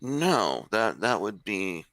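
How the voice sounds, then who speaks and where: A man's voice: fairly low pitch, big pitch swings, slow, fairly bright tone, no room sound. A man hosting a discussion, answering in short, casual remarks. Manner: brief, casual reactions.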